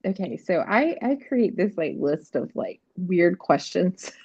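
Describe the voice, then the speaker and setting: A woman's voice: on the low side, sing-song, measured pace, darkish tone, husky, no room sound, lo-fi sound. A woman in her forties from South Carolina, a guest chatting casually and laughing often. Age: 40s. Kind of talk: casual chat.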